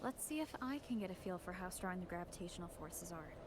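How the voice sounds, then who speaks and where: An actress voicing a composed, purposeful female fighter in a video game, with calm, measured delivery. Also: on the low side, lightly acted. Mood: calm, pondering. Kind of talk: video game voice acting, short battle lines. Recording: quiet game audio under noisy ambience.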